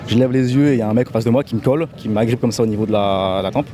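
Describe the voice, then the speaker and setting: A young man in a street interview, recounting events in a fast, matter-of-fact way. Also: mid-range voice. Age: young adult. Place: outdoors in a city street. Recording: outdoor street clip with crowd noise.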